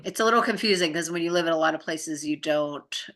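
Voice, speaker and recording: A woman with a low, gravelly voice talks casually about her own experiences. She rambles informally, circling back and letting thoughts trail off, with a bright edge to the sound. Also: quick pace. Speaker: spiritual practitioner in conversation. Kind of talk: casual chat, personal storytelling.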